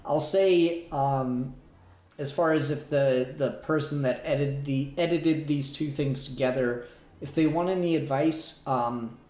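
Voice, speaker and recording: A man giving a casual review as a podcast co-host, speaking in halting, searching phrases. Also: a little high, darkish tone. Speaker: podcast co-host reviewing a fan audio drama. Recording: echoey room sound.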